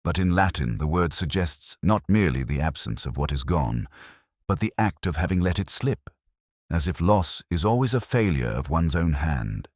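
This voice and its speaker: Male story narrator, extremely deep, solemn and measured yet expressive in pitch, for first-person detective fiction. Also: no echo, clean studio sound. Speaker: audiobook narrator.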